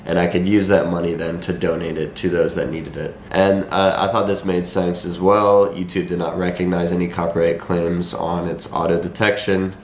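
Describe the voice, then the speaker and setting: A young man with a slightly raspy voice and a cold, explaining things to viewers in a measured, matter-of-fact vlog style. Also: deep voice. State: has a cold. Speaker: vlogger. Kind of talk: talking to camera, explanatory vlog. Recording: echoey room, faint background noise.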